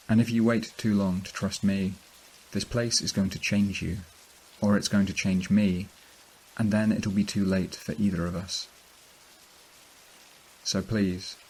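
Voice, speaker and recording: A man playing a horror podcast narrator, recounting a sad plea in a subdued, deliberate way. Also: sits low, a little mellow, rough recording. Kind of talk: audio drama narration. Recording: faint hiss and rain behind.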